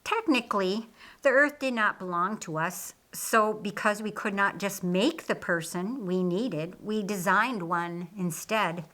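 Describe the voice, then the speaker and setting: Lady reading a patient male teacher in an audiobook, explains things carefully, bright clear authority. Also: medium pitch. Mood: explaining. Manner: didactic, measured explaining. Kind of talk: audiobook character dialogue.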